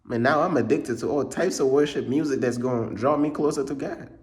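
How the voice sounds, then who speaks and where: A man's voice: medium pitch, fairly quick. Slangy young man from Uganda. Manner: slangy, repetitive, peppers speech with bro. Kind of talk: casual vlog monologue.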